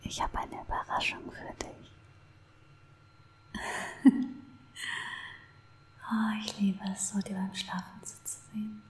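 An obsessive, lovestruck female stalker, played by a woman in an ASMR roleplay. She coos to her captive in a soft, unhurried whisper at a middling pitch, tender and possessive. The microphone is close, with noticeable room echo around the voice. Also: level tone, lightly acted. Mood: doting. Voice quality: breathy whisper.

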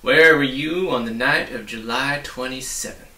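A man's voice: medium pitch, moderate pace, pushed and bright, roomy sound, recorded from a distance, slight background noise. A man voicing a tiny, fierce, bossy storybook policeman with a blustering, accusatory manner. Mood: stern, officious.

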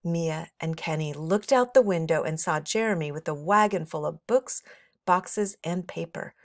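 A woman's voice: low voice, normal speed, no echo, studio quality. A middle-aged woman reading a children's story aloud expressively, as a teacher would for young listeners.

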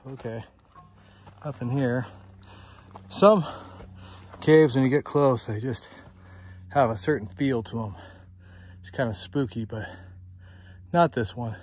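A man's voice: mid-range voice, slow, long pauses, darkish tone, husky. A man in his forties narrating his desert hike outdoors on a handheld camera. He sounds a bit tired and out of breath, talking in a breezy way to himself with little exclamations. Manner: breezy, talks to himself while hiking, exclamations. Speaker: desert explorer vlogger. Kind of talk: casual hiking vlog narration. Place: rocky desert mountainside outdoors. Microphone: handheld phone or action camera.

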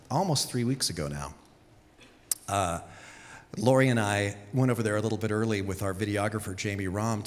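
A man in his fifties preaching a sermon on a church stage with a handheld mic, telling stories and acting out quoted voices. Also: fairly low pitch. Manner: storytelling, animated, acts out quotes. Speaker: pastor. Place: large church stage with audience. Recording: long reverb of a big hall.

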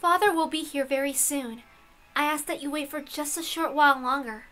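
Woman voicing a demure, high-voiced young witch. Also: moderate pace, bright, forceful tone. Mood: polite. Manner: demure, courteous. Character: meek, polite, ladylike young witch.

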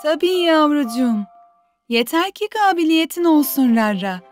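A woman voicing a gentle, patient young mother in a dubbed children's cartoon, affectionate and coaxing. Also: high-pitched, lively intonation, moderate pace, soft background music.

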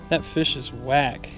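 A man's voice: medium pitch, rough recording. A young man chatting casually and jokily outdoors as he fishes, with a laid-back, teasing manner. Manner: laid-back, jokey exaggeration. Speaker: fishing vlogger. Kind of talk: casual chat while fishing. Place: outdoors on a wooded river. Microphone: action camera worn or held by him.

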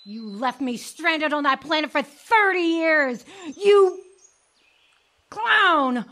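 A woman's voice: sits high, expressive, takes it slowly, a bit bright, full character voice. Woman acting a dramatic, wildly swooping abandoned woman. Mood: bitter, furious. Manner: dramatic, accusing. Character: bitter abandoned woman confronting the man who stranded her.

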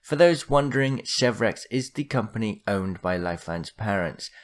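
A man's voice: lowish voice, expressive, moderate pace. A man hosting a gaming video, narrating a lore explainer from a script.

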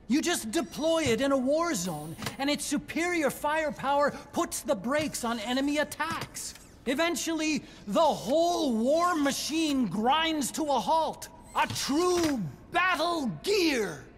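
Earnest, excitable game scientist. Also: male voice, very high-pitched, slight background noise. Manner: earnest, theatrical, lecturing. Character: excitable nervy male scientist pitching his weapon invention. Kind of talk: video game cutscene acting.

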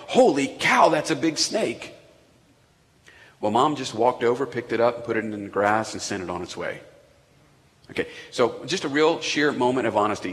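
Man, pastor, casual conversational sermon, medium pitch, long church reverb. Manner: conversational, animated with asides to the congregation. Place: church sanctuary. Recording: echoey church hall.

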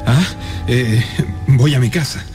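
A man's voice: medium pitch, slow pace, natural voice. A man acting a frightened, evasive debtor in a radio drama, stammering nervously and repeating himself. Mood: nervous pleading. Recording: loud music under the voice.

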